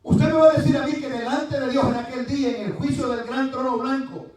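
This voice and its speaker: A man preaching with fervent energy, his voice pitched very high for a man. He acts out the words of others and breaks into calls of praise. The sound is boomy and echoing, picked up from some distance. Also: brisk. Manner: fervent, animated, acts out quotes, exclaims praise. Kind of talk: sermon, livestreamed church program. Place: church. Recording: echoey room, mic at a distance.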